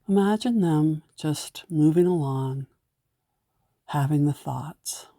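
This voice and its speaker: Slow, low-voiced woman guiding meditation. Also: dry recording, clean studio sound. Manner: gentle, unhurried, soothing instruction. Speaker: meditation guide. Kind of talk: guided meditation narration.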